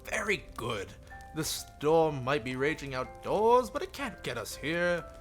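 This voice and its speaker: A man's voice: a little high, sing-song, unhurried, fairly bright tone, soft background music. A man voicing a sweet, playful young princess in a visual novel, coy and tender. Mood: tender, doting.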